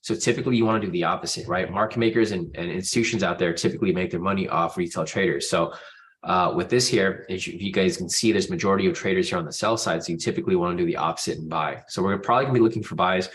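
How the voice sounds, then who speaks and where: A man's voice: sits low, racing, a bit bright, a little hiss or hum. A man with a stuffy nose from a cold, hosting a live trading stream in a casual, informal way and addressing viewers directly. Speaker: host of a live trading room.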